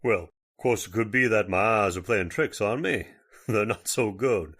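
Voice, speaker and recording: Genial older judge male actor, old-time radio horror; folksy, deliberate, very lively intonation. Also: lowish voice, slightly rough, full character voice. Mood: polite. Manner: folksy, deliberate, hesitant politeness.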